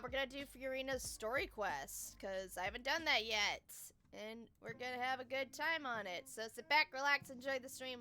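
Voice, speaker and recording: A woman's voice: a little high, pushed and bright, clean tone. A woman livestreamer talking casually and informally to her viewers, addressing them as y'all. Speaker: live game streamer. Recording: music behind the voice. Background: music.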